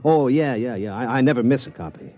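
A man's voice: medium pitch, lively intonation, fast talker, warm tone, no echo, natural voice. A man playing a slangy, swooping street hustler. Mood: breezy. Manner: streetwise slang, breezy wise-guy patter. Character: fast-talking, slangy male hustler and gambling tout, breezy. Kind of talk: 1950s radio drama acting. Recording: dull old radio recording.

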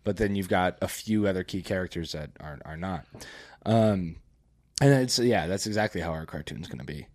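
Male podcast co-host, lowish voice, rambling casual delivery, dry close mic. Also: moderate pace, a little mellow, no echo. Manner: loose, rambling with filler words and swearing. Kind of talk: casual chat.

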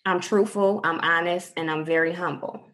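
Earnest woman, lowish voice. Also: fairly even intonation, normal speed, a bit bright. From Detroit. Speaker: podcast guest. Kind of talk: casual interview.

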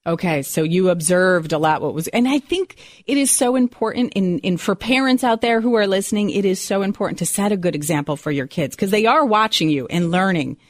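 A woman's voice: mid-range voice, expressive, a little fast, hardly any pauses, no room sound, studio quality. A woman hosting a podcast, presenting to listeners and interviewing in a direct way. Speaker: podcast host.

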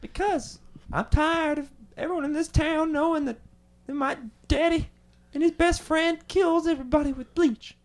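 A man's voice: very high-pitched, steady tone, normal speed. A man voicing a sulky grown son who whines that nobody wants to be his friend. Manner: sulky, self-pitying whine. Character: whiny grown son of a killer car salesman. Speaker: improv character.

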